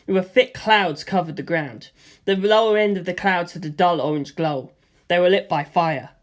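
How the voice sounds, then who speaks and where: Steady first-person story narrator. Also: mid-range voice, normal speed. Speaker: audiobook reader.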